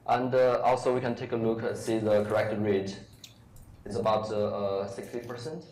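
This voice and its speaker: A man presenting a live software demo at a meetup, speaking haltingly with many fillers. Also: lowish voice, fairly even intonation. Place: large lecture room with audience. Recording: echoey hall, faint room noise.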